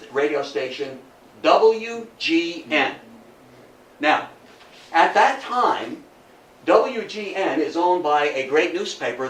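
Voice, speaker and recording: An older man giving an informal, animated lecture to an audience, repeating phrases for emphasis and often checking in with an okay. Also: a little high. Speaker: lecturer at a historical society talk. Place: indoor talk room with audience. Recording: echoey room, distant mic, background noise.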